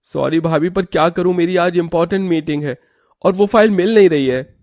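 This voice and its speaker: A man's voice: high-pitched, brisk, darkish tone, no room sound, studio quality. A man playing a polite, flustered young brother-in-law in a cartoon family story, with a pleading manner. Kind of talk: Hindi cartoon story acting.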